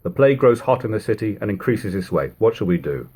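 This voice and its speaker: A man's voice: on the low side, big pitch swings, fast, a little mellow, husky. A man voicing a worried, frank old soldier turned biscuit-maker in storybook dialogue, emphatic and plain-spoken. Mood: urgent, worried.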